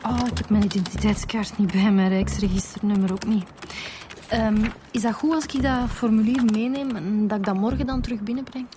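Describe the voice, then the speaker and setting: An actress playing a persistent young Flemish police detective in a naturalistic radio drama. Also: female voice, medium pitch, steady tone, fairly quick, noisy background, lightly acted. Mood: casually probing.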